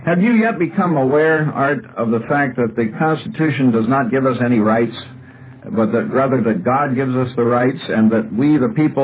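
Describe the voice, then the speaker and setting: An American man hosts a call-in radio talk show with earnest, deliberate phrasing. His medium-pitched voice comes through a thin, narrow shortwave signal. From Iowa, United States. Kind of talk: religious and political call-in talk.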